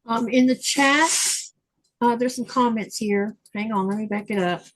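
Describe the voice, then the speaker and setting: A woman's voice: a little high, normal speed, poor audio. A woman hosting a craft livestream, reading viewer comments aloud in a chatty way with fillers. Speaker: livestream host reading chat. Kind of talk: casual chat. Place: home livestream. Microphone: livestream mic.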